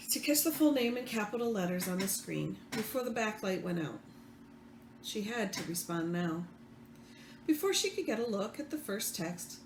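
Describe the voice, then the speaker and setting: A woman reading fiction aloud as the author, expressive, with the odd stumble. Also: medium pitch. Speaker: author reading own book. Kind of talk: read-aloud fiction narration. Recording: roomy echo, faint background noise.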